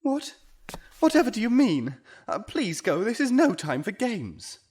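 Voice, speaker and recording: A man playing an anxious, melodramatic rich gentleman in an audio drama, with theatrical exclamations and old-fashioned phrasing. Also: sits high, very animated, measured pace, husky, full character voice. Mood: despairing.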